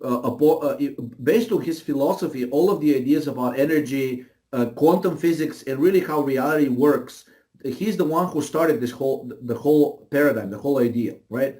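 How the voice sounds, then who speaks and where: A man's voice: medium pitch, brisk, warm tone. A man from Bulgaria with a Bulgarian accent explains his views conversationally as an interview guest, emphatically, repeating phrases and checking in as he goes.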